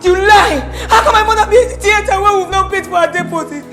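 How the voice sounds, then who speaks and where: A woman playing a desperate daughter who shouts and cries in a hospital. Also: very high-pitched, fast, faint music behind. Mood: frantic. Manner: shouting, pleading, crying. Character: frantic young woman, desperate and loud. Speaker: daughter searching for her mother in a hospital.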